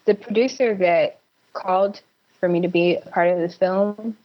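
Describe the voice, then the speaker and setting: A woman's voice: lowish voice, fairly even intonation, moderate pace. A young woman from Houston, Texas, talking conversationally as an interview guest on a lo-fi connection. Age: young adult. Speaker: interview guest, actor and director.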